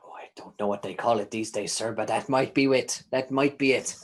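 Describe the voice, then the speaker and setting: A man's voice: mid-range voice, fairly quick, voice pushed down, lightly acted. Man playing Tad, a chatty imprisoned informant. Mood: rambling. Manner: rambling, stammering, old-timey folksy phrasing.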